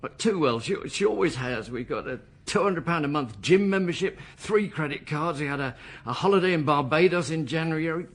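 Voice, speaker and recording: A man's voice: a little high, expressive, a little fast, few pauses. A man acting in a radio drama as a desperate suspect under questioning, faltering and defensive as he protests. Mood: pleading, exasperated.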